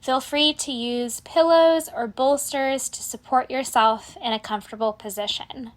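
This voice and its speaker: Slow, higher-pitched woman guiding relaxation. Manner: calm, measured, soothing instruction with soft phrase endings. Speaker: guided meditation instructor. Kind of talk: guided relaxation narration.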